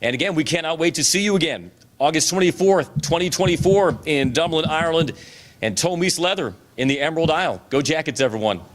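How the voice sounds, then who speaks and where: A man emceeing a press conference, introducing speakers and wrapping up in a brisk, cheerful way. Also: fairly high pitch, lively intonation, slight background noise.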